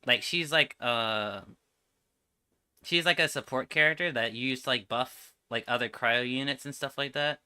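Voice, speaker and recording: A man's voice: mid-range voice, moderate pace, bright, forceful tone, smooth. A man giving casual, slangy and enthusiastic livestream commentary as he reacts to game trailers.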